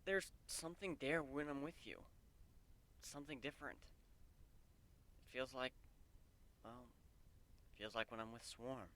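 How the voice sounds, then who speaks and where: A man playing an earnest, devoted male pegasus guard in a story reading, gentle and hesitant in manner. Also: a little slow, pauses often. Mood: tender, hesitant. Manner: hesitant, low-key, tender.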